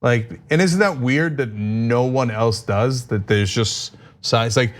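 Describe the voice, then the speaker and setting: A man of middle pitch reading viewer comments aloud on a live show. He chats casually and drops wry asides between messages. His voice is plain and conversational. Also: slow pace.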